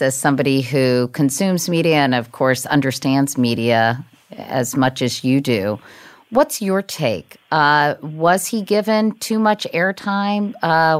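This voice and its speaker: A woman's voice: low voice, dry recording. A woman in her fifties hosting a podcast, chatty and self-deprecating. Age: 50s. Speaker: podcast host. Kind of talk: casual chat.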